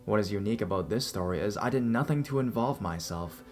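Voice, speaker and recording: Horror story narrator: man, lowish register, reading first-person accounts over a music bed. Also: lively intonation, fast talker. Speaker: narrator reading listener-submitted scary stories. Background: background music.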